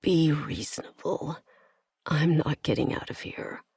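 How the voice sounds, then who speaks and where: A woman voicing a gravely wounded alien male warrior who speaks in a hoarse, pained whisper. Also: low voice, unhurried, darkish tone, dry recording, studio quality, exaggerated voice. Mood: pleading whisper.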